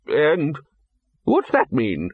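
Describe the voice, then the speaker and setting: A man reading an audiobook voices a gruff, blunt old male wizard chief who barks short phrases. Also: fairly high pitch, wildly swooping pitch, very slow, no room sound, phone-quality sound, voice pushed up, exaggerated voice. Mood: blustering. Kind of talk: audiobook character voice.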